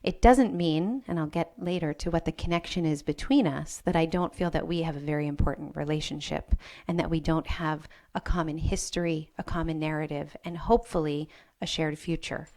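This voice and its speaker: A woman's voice: low voice, fairly quick, a little mellow. A woman from the United States with an American accent tinged with Israeli sounds, a rabbi speaking personally and thoughtfully on a panel to a live audience.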